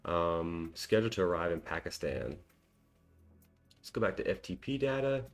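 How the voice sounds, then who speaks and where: A man's voice: sits low, animated, moderate pace, faint music behind. A man hosting a cybersecurity walkthrough, thinking aloud in a casual, self-deprecating way with frequent ums and small laughs. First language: English. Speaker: cybersecurity tutorial host.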